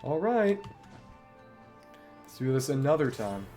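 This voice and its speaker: A man streams a game with casual commentary, thinking aloud in short, halting bits. His pace is unhurried, while his pitch moves around in a lively way. Faint music plays along behind him. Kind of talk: casual solo gameplay commentary.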